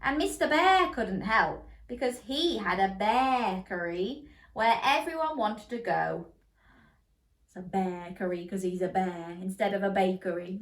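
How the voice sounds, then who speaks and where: Woman storyteller for kids, lively pitch, roomy echoey recording. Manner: playful, interactive, animated. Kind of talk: bedtime story reading for children. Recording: roomy echo.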